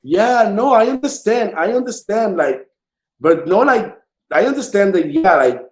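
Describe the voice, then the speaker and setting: A man from the Dominican Republic with a Dominican Spanish accent, chatting casually as an interview guest, haltingly repeating words as he searches for them. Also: high-pitched, lively intonation, darkish tone, roomy sound. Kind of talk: casual conversation.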